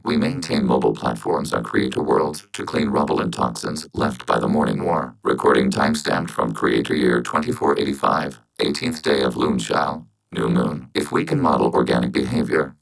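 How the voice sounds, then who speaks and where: Game robot: collective machine intelligence, bottom-register voice with heavy fry, synthetic filter, coolly logical, measured, formal and unemotional. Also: male voice, a bass voice, a bit bright, full character voice, rough recording. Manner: measured, clipped, emotionless, formal phrasing. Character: calm, logical networked robot speaking as a collective. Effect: robotic voice processing.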